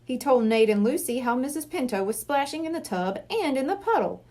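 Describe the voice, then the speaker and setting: Animated high-voiced woman reading a storybook. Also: fairly quick. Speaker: storybook reader. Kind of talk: expressive scripted children's book narration.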